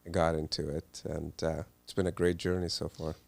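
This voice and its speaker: Very low gravelly man, halting speech. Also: flat delivery, darkish tone, no echo. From Pakistan. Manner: hesitant, many ums and uhs. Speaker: cardiologist guest. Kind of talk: relaxed interview answers.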